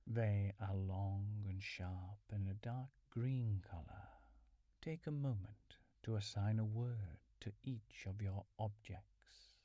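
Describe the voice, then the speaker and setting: A man leading a calm guided mindfulness meditation in a soothing, measured, instructional way, with soft breathy phrase endings. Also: fairly low pitch, no room sound, highs cut off. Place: small furnished room at home.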